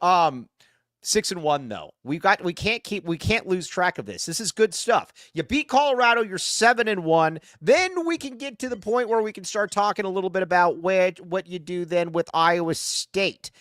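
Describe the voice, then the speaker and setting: A man co-hosting a sports podcast, joking and animated, often talking over his co-host. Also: high voice, moderate pace, no echo, clean studio sound. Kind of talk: casual sports chat.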